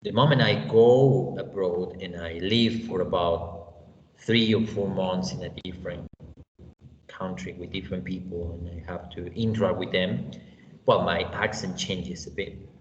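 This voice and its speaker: A man's voice: low-pitched, a little slow. A man with a Mexican accent teaching an online class, chatty and loose, full of fillers and "you know". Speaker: English teacher. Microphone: laptop on a video call. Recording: echoey, dull, lo-fi, faint noise.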